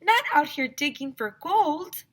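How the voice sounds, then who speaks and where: A woman's voice: high voice, expressive, measured pace, pitched above the performer's own voice. A woman voicing a prim, fussy schoolgirl in a children's story, complaining in a proper, disapproving way. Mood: indignant.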